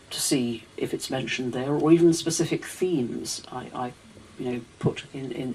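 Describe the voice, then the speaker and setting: A woman's voice: a bass voice, darkish tone, slight background noise, rough recording. A woman lecturer answering audience questions in a hesitant, restarting way, full of "you know" and "I mean". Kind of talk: casual Q&A answers.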